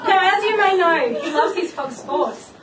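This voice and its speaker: A high-voiced woman with a slightly croaky voice reads her engagement speech and a little rhyming poem, nervous and giggly. She sits far from the mic in an echoey room, and loud party noise fills the space around her. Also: animated, normal speed. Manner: nervous, giggly, self-deprecating. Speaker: bride-to-be giving engagement speech.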